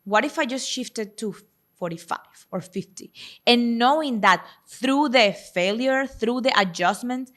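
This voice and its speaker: A woman's voice: fairly high pitch, expressive, a little slow, a bit bright. A woman in her thirties hosting a podcast, speaking in an encouraging coaching way in casual chat. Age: 30s. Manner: encouraging, coaching tone, plain-spoken. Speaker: podcast host and self-worth coach.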